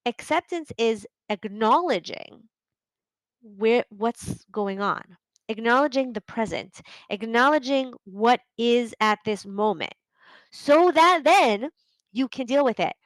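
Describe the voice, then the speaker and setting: A woman's voice: on the high side, expressive, slow, no room sound, low-quality recording. A middle-aged woman hosting a solo podcast, talking earnestly and conversationally, often checking in with "right?". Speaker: podcast host and coach.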